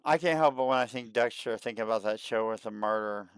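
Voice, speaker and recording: Excitable man in his thirties, smooth voice. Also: on the low side, fast talker, no echo, low-quality recording. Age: 30s. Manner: excitable, repeats himself. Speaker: livestream host. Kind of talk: casual chat.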